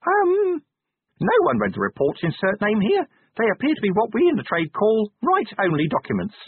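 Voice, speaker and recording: A tiny, proud imp from a storybook, voiced by a man, chirpy and officious. It speaks high with swooping pitch and pedantic formality, over narrow, phone-like sound. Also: huge pitch swings, normal speed, slightly rough, dry recording, heavily acted. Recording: narrow-band, phone-like audio.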